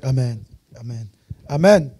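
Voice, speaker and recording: A man's voice: mid-range voice, expressive, measured pace, warm tone, breathy edge. A man leading a deliverance prayer, commanding in tone.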